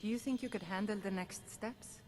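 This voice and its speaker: An actress playing a harried, brusque temple priestess and healer in a fantasy video game, weary and matter-of-fact. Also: female voice, mid-range voice, normal speed, darkish tone, natural voice. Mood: surprised, businesslike. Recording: clear background noise.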